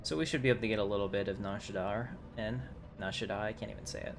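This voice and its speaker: Low-voiced male streamer, noisy background. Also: fairly even intonation, fast. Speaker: game livestreamer. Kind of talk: casual live gameplay commentary. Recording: noisy livestream with game audio.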